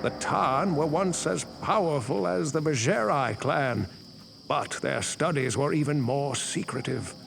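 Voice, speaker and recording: An actor playing a wise, solemn elderly sage in a fantasy game, with a gravelly voice and deliberate delivery. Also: male voice, a little high, background noise. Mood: thoughtful, storytelling. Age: older. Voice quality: gravelly, creaky. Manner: slow, deliberate, portentous. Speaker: game character giving lore. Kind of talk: fantasy game narrative dialogue. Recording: loud game music underneath. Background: loud background music.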